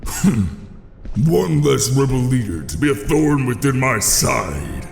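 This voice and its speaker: Gravelly, imperious orc warchief. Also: male voice, medium pitch, big pitch swings, slow pace, a little mellow, full character voice. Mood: grimly satisfied. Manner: commanding, formal, menacing. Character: stern, ruthless orc warchief, imperious and cruel. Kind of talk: fantasy audio drama acting. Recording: echoey hall, music behind.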